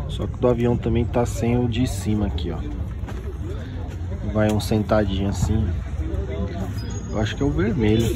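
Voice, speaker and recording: A man's voice: mid-range voice, noisy background. A young man casually showing off toys while walking through an outdoor flea market, filming on a handheld phone and pointing things out constantly. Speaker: toy collector vlogger. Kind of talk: casual walk-through commentary. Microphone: handheld phone camera.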